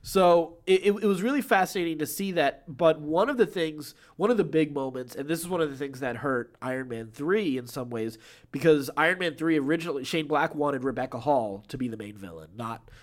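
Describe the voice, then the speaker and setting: Higher-pitched man chatting as podcast co-host. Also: a little fast. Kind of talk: casual chat about film news.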